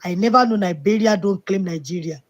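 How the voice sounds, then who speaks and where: A woman's voice: medium pitch, fairly quick, dull audio. A woman on a live call-in discussion, speaking indignantly and emphatically. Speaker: call-in participant.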